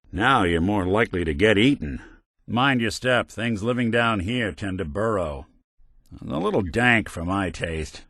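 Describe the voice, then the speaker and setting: An actor playing a weary synth detective in a video game, delivering dry, sardonic quips with an old-time gumshoe cadence. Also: male voice, sits low, no room sound, heavily acted. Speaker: companion character. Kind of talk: game voice lines.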